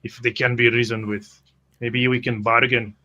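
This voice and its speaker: A man's voice: medium pitch, flat delivery, moderate pace, bright, forceful tone, highs cut off. A man playing a tabletop roleplay, reasoning hesitantly in character and describing what he does.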